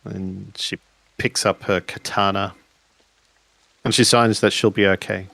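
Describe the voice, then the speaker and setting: Slow, low-voiced man narrating a game. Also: level tone, creaky, fairly bright tone, no echo. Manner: measured, pausing between phrases. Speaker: tabletop game player. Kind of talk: improvised descriptive narration of a tabletop game.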